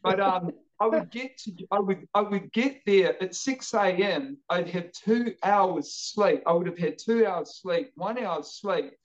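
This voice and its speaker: A man's voice: sits high, unhurried, hardly any pauses, dull audio. A man in his late fifties with a New Zealand accent, chatting casually as a podcast guest, telling stories energetically and often repeating his words as he goes. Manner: energetic, stammering repetitions. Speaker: podcast guest, jiu-jitsu coach. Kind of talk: casual chat, animated storytelling.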